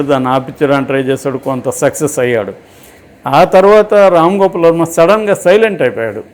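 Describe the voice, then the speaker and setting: A man's voice: a little high, darkish tone. A man with a Telugu accent giving unscripted opinionated commentary, explaining through examples and rhetorical questions. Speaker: political and film commentator.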